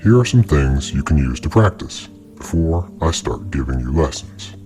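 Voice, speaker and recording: A man's voice: a bass voice, lively intonation, normal speed, darkish tone, faint music behind, pitched below the performer's own voice. A man voicing a small, sinister creature who poses as a child's friend in a horror story, secretive, coaxing and insistent.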